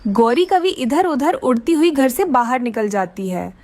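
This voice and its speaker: High-pitched, animated woman storyteller. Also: unhurried, no echo. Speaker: narrator. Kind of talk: scripted children's story narration.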